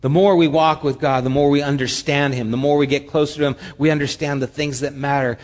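A pastor giving a conversational sermon with humorous asides. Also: male voice, fairly high pitch, fairly even intonation, fairly quick, big-room sound.

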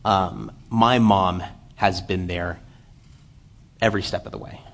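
A man in his late twenties giving a church sermon, lively while telling a story and then quiet and earnest as he reads scripture. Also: low-pitched, measured pace, vocal fry. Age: 20s. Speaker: lay preacher.

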